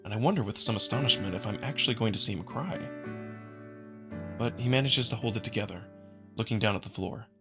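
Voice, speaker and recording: A man's voice: on the low side, brisk, music in the background. A man reading dramatic scripted first-person narration as the narrator of a visual novel.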